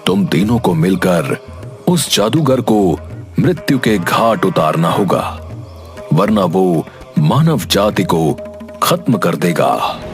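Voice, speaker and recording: Storybook guru, man acting: grave, low, very deliberate, varied intonation over music. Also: big pitch swings, exaggerated voice. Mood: solemn. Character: solemn, all-seeing male sage and guru. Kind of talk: animated fairy-tale story.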